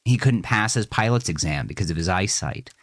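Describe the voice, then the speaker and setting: A man reading a scripted first-person horror story in a measured, deliberate, dramatic way. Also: deep voice, lively intonation, fairly bright tone, no echo. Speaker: narrator of a horror fiction podcast.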